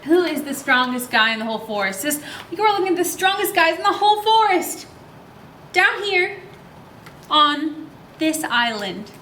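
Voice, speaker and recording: A bright, high woman's voice presents a picture book to young children. She is expressive and unhurried, guiding them with simple questions. The room adds a noticeable echo. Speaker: children's library story time host. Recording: roomy echo, faint background noise.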